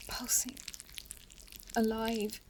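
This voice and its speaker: A woman playing a weakened, haunted lone survivor in a horror radio play, speaking in halting, breathy, whispered fragments. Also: a little high, level tone, a little mellow, slight background noise. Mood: frightened, strained. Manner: halting, fragmented phrases with long pauses. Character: dying lone female survivor, entangled, haunted, bitterly resigned.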